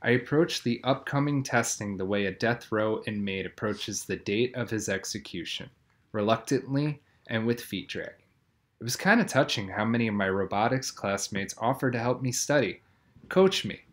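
A man's voice: lowish voice, moderate pace. A man reading a children's novel aloud, telling the story expressively with plenty of emphasis. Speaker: audiobook reader.

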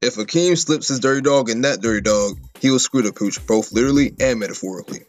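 A man's voice: medium pitch, fast talker, soft background music, lightly acted. A man voicing a folksy, cocky schemer in a comedy cartoon, full of colourful slangy patter. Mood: urgent explaining. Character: folksy, cocky schemer leading a bumbling duo.

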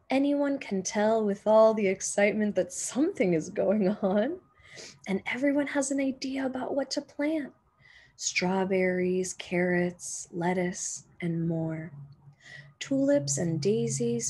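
Slow, husky woman reading a storybook. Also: on the high side, darkish tone. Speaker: teacher reading a picture book aloud. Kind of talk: expressive children's storybook read-aloud.

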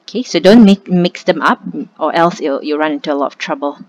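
A young woman, tutoring in a relaxed, conversational step-by-step screen walkthrough. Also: deep voice, a little fast. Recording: slightly muffled with faint hiss.

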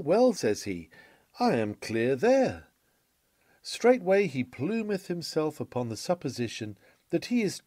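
A man reading a classic sermon from a script in a solemn, measured, oratorical manner with emphatic phrasing. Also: on the high side, warm tone, dry recording.

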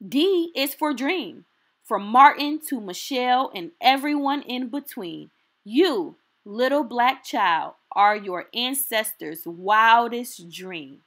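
A woman reading a children's picture book aloud as a storytime host, in an expressive, deliberate and encouraging way. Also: a little high.

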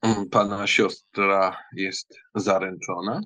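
A man playing a proud, courteous young count with a measured, polite and ironic manner in an acted audiobook. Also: low-pitched, level tone, bright, forceful tone, full character voice. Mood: curious.

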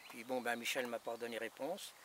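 A man in his fifties holds a relaxed, colloquial radio exchange outdoors, often repeating his phrases. His voice sits at a medium pitch. The camera audio is clearly noisy, with wind around it. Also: fairly quick. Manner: repetitive, colloquial. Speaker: amateur radio operator. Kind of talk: casual two-way radio chat. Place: outdoors by a gravel drive in a rural garden. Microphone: camera a metre or two away.

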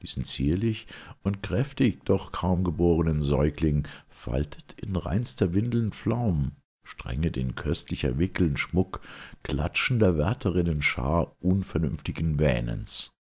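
A man's voice: very deep voice, lively intonation, unhurried, darkish tone, slightly rough, heavily acted. A man voicing a chorus of young, sceptical maidens in a declaimed verse drama. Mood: lofty, scornful.

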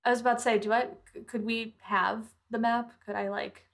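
A woman's voice: on the high side, level tone, fairly quick, gravelly. A woman playing a tabletop roleplaying game, chatting casually and saying what her character does.

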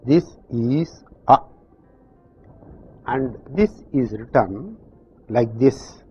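An older man from South India with a South Indian accent, teaching in slow, deliberate steps in front of a chalkboard. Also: mid-range voice, lively intonation, dull audio, soft background music. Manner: slow, deliberate explanations with pauses. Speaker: language teacher. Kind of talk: slow classroom instruction. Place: classroom with chalkboard.

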